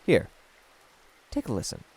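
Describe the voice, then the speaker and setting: A man playing an eerie keeper of old records who introduces horror tales in an ominous, drawn-out, theatrical way. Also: lightly acted. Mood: ominous, inviting. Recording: loud music and rain behind.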